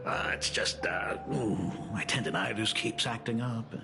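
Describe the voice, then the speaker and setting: A wistful, devoted ageing father from a story-driven video game. He speaks very slowly and solemnly, with a gravelly creak and tender hushed phrases. Music plays clearly behind him. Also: lowish voice. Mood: sheepish, weary. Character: gentle, ageing artist father, academy dean, wistful and devoted. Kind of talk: dramatic video game voice acting.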